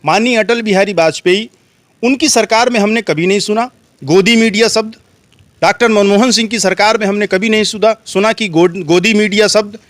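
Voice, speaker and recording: A man's voice: high-pitched, normal speed, fairly bright tone, no echo. An Indian man giving earnest political opinions in an interview, speaking emphatically and oratorically, repeating phrases for emphasis. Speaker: street interviewee.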